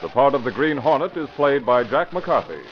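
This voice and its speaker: Vintage radio narrator, man, animated delivery, dull recording with clear hiss. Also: medium pitch, normal speed. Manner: dramatic, emphatic announcing. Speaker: announcer and narrator. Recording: muffled old radio broadcast with hiss.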